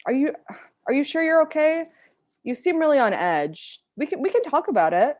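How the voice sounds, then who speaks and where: A woman's voice: sits high, very animated, normal speed, a little mellow. A woman playing a frustrated but concerned girlfriend in a live table read, speaking naturally, by turns hesitant and pointed.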